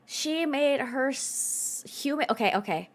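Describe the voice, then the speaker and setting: Blunt, higher-voiced woman streaming games. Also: expressive, a little slow. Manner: blunt, sweary, incredulous. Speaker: game streamer. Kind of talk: casual reactive gaming commentary.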